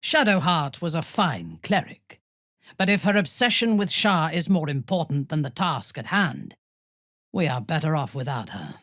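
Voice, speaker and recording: A husky, imperious dark elf commander. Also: female voice, low-pitched, expressive, no room sound, studio quality. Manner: haughty, deliberate, commanding. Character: cold, imperious, ruthless female dark elf warrior-priestess. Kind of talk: video game character dialogue.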